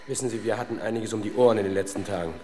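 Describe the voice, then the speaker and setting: An actor playing a loyal, matter-of-fact police sergeant in a 1970s German radio crime drama. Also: male voice, fairly low pitch, fairly even intonation, very fast, long reverb tail, noisy background, lightly acted. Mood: apologetic, defensive.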